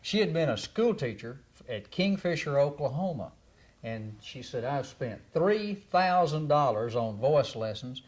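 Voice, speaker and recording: Texan guy, folksy storyteller, laughs a lot, middle pitch. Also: expressive, a little slow. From Texas. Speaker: guest. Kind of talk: casual interview chat.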